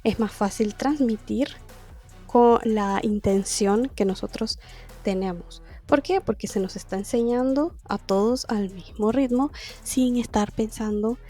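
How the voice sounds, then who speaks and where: A woman's voice: fairly high pitch, measured pace, mellow, faint music behind. A woman teaching a language class, explaining methods in a conversational way and often asking herself rhetorical questions. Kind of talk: explaining a lesson to camera.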